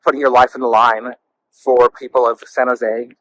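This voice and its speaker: A man's voice: mid-range voice, little pitch movement, moderate pace, fairly bright tone, poor audio. A man hosting a casual walk-and-talk interview, speaking in a gushing, effusive way. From California, lived in the Bay Area. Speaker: interview show host.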